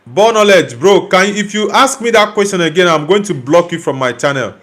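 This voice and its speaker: A young man from Nigeria hosts a football live stream in a relaxed, chatty way. His voice sits a little higher than most men's. He reads out viewer comments and answers them directly, sometimes teasing, sometimes blunt. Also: moderate pace. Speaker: livestream host reading chat. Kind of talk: casual live chat.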